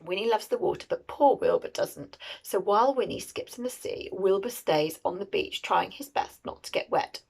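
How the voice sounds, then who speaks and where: A woman reading a picture book aloud to children in an expressive, teacherly, questioning way. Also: medium pitch, normal speed, vocal fry, few pauses, breathy edge.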